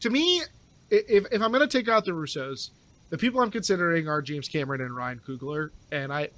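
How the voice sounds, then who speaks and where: A man with a notably high-pitched voice in relaxed, casual chat. He talks his thoughts through out loud and has a joking way about him. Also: a little fast, dry recording. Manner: thinks aloud, joking.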